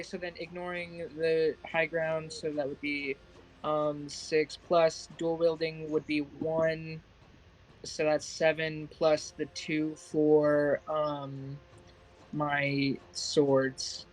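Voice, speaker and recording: A man's voice: on the high side, flat delivery, slow pace, faint music behind, rough recording. A man playing a tabletop game, hesitantly thinking aloud as he casually works through the rules.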